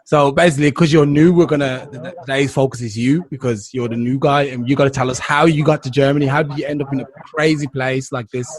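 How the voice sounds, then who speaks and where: A man's voice: mid-range voice, steady tone, a crowd in the background. A man hosting a podcast in casual chat, laughing often and cutting in. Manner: laughing, quick to interrupt. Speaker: podcast host.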